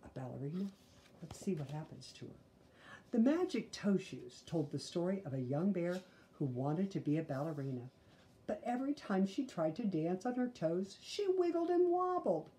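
A woman in her sixties narrates a picture book with a mellow tone and very lively melody. She reads expressively and drops in little asides to the listeners. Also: lowish voice, normal speed. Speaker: storybook reader. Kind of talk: children's read-aloud narration. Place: small living room, indoors.

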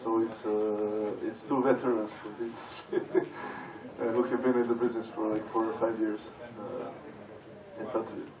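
A man from Serbia, speaking haltingly as a conference panelist. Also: fairly low pitch, flat delivery, crowd noise. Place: large conference hall with audience. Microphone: stage microphone heard from far off. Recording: echoey hall, distant, audience noise.

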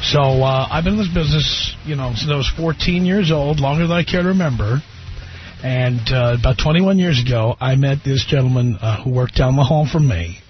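A man's voice: mid-range voice, brisk, soft background music. A middle-aged man hosting a live radio talk show, brash and joking, teasing his own studio staff. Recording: dry, dull radio broadcast.

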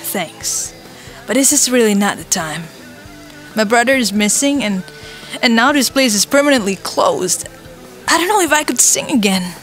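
Young female cartoon heroine, high-pitched, stubborn, emotional, dramatic, music behind. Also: animated, normal speed, fairly bright tone. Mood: guarded, downcast. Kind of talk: animated motion comic voice acting.

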